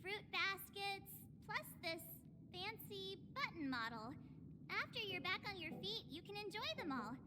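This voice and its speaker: An actress playing a perky, kind-hearted young girl adventurer with expressive, theatrical delivery in a video game. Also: very high-pitched, unhurried, background noise. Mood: excited. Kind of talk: scripted video game dialogue. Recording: game music behind the voice. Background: game music.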